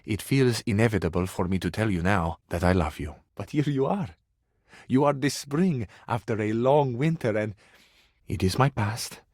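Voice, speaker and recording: A man's voice: lowish voice, lively intonation, moderate pace, a little mellow, dry recording, studio quality. A man voicing a passionate, suave Italian cabinet for a video game, with florid, theatrical delivery and an Italian accent. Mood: tender, loving. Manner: florid, dramatic, lingering on words. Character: passionate, melodramatic, romantic Italian cabinet, male, suave dreamer.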